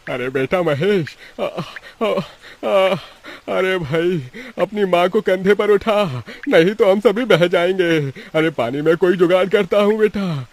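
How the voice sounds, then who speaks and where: Man acting a middle-aged village father, fairly high voice, worried, urgent, cartoon moral tale. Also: slow pace, hardly any pauses, dry recording. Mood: alarmed. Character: worried, resourceful father of poor village family.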